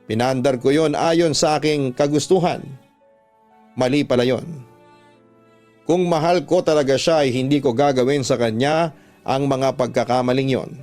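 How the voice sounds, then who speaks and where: Man, mid-pitched, radio drama narrator, earnest, sentimental first-person reading, music bed. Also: normal speed, a little mellow. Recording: music under the voice.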